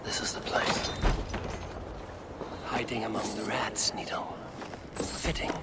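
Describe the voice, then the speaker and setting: An actor playing a wary, stern Viking warrior in a video game, speaking in terse, low-key mutters. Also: male voice, slow pace, very noisy. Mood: hushed, watchful. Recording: loud music and game sound behind.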